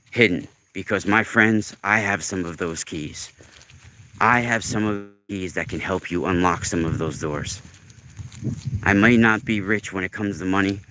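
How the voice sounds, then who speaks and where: A man's voice: low voice, pushed and bright, clean tone, dry recording. A man in his forties hosting a casual livestream monologue, talking in an earnest, emphatic, rambling way. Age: 40s. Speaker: livestream host.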